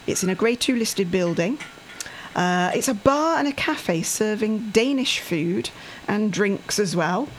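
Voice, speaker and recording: A chatty woman co-hosts a relaxed talk show. She laughs easily and breezes through local recommendations. Faint background noise sits under her voice. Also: medium pitch, rough recording. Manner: chatty, laughs often, reads out listings. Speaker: co-host. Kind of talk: casual radio chat.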